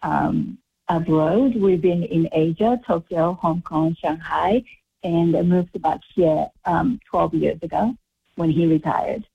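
Woman from Japan, slow husky speech, phone-line sound. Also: lowish voice. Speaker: poet, guest. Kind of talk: radio interview over the phone.

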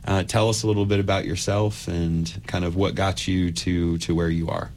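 A man's voice: low voice, level tone, normal speed, darkish tone, slight background noise. A man hosting a conversational interview, putting his questions in thoughtful, hesitant phrases. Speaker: interviewer, host.

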